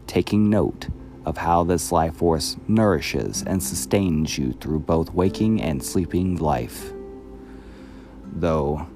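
A man reading a scripted guided meditation in a calm, measured, soothing manner. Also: deep voice.

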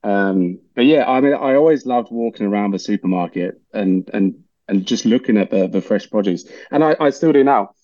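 A man's voice: fairly low pitch, normal speed, hardly any pauses, no echo, highs cut off. A man from England whose accent has picked up some Australian twang, a chef chatting casually as a podcast guest and laughing easily. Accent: English with some Australian twang. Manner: relaxed, rambling, many fillers, laughs easily. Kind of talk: casual interview chat.

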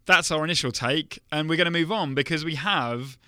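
A man co-hosting a podcast in an upbeat, casual way, laughing easily and filling pauses with "uh" and "um". His voice is bright and crisp. The sound is close and dry, with no room around it. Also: a little high, no echo. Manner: upbeat, laughs often, many fillers. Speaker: podcast co-host. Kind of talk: casual podcast chat, reading listener emails.

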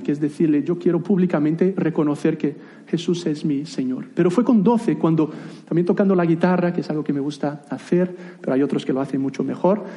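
A man's voice: a little high, dark tone. A man in his thirties, a native Portuguese speaker, preaching a sermon in a conversational way with light self-deprecating asides and frequent restarts. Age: 30s.

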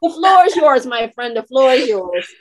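A woman's voice: sits high, sing-song, moderate pace, a little hiss or hum, rough recording. A woman hosting an interview show, upbeat and welcoming toward her guest. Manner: upbeat, welcoming, uses fillers. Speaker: podcast host.

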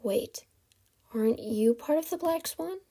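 A woman's voice: fairly high pitch, animated, measured pace, warm tone, husky, full character voice. A woman voicing a frightened but defiant young girl held captive, pleading and protesting, in a story reading. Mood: desperate.

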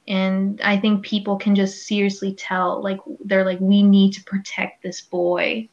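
A young woman in her twenties co-hosting a casual podcast, animated and laughing easily. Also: mid-range voice, unhurried. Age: 20s. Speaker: podcast co-host. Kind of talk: casual chat. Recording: slightly dull lo-fi recording.

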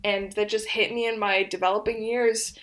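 A woman's voice: mid-range voice, level tone, normal speed, low-quality recording. A woman in her twenties, whose first language is Polish, co-hosting a podcast and telling stories with frequent laughter. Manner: laughs often, warm storytelling. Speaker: podcast co-host. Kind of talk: casual chat.

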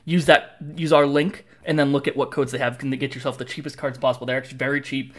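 A man's voice: medium pitch, little pitch movement, quick pace, fairly bright tone. A young man hosting a podcast, chatting casually with lots of fillers and reading a sponsor plug. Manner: easygoing, filler-heavy. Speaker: podcast host.

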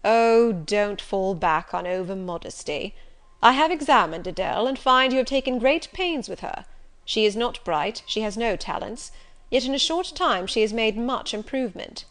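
A woman voicing a gruff, brusque, middle-aged master of the house in an audiobook, curt and commanding. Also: high voice, animated, moderate pace, a bit bright. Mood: blunt, appraising.

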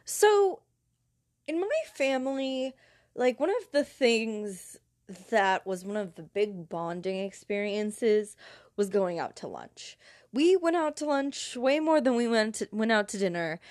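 A woman's voice: fairly high pitch, animated, a little slow, darkish tone, no room sound, clean studio sound. A woman with a head cold, hosting her own podcast in a casual, rambling chat with lots of fillers and restarts. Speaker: podcast host.

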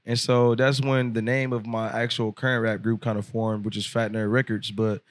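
A man in his twenties, a rapper telling stories on a podcast in a loose, profane, animated way, laughing often. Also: lowish voice, normal speed, no echo, clean studio sound. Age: 20s. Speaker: rapper guest. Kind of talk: casual podcast storytelling. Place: podcast studio.

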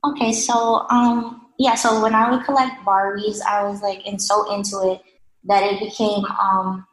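A woman's voice: medium pitch, level tone, a little slow. A young woman from New York City, a guest artist answering interview questions casually, hesitant and full of fillers. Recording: echoey room with faint noise.

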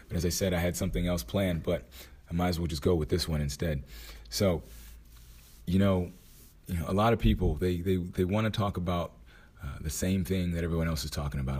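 A man hosting his own podcast, hoarse after a night out, talking casually and thinking aloud with frequent restarts. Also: deep voice, darkish tone. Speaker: podcast host. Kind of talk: casual motivational monologue.

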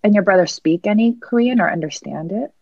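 A woman's voice: mid-range voice, fairly quick, creaky, darkish tone, no room sound. A woman hosting a casual interview and asking questions about family and language, with an easy laugh. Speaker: interviewer, host. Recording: slightly dull lo-fi sound.

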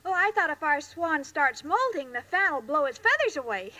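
A woman's voice: high-pitched, very animated, moderate pace. A woman playing a sweet, naive, scatterbrained young woman in a 1940s radio comedy, muddled but earnest, on a noisy old recording. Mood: innocent, dim.

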